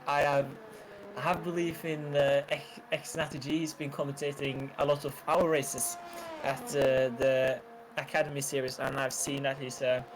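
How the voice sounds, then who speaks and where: A man's voice: medium pitch, noisy background. Hesitant Norwegian man commentating. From Norway. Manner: hesitant, many fillers. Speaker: sim racing commentator. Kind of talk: amateur esports commentary.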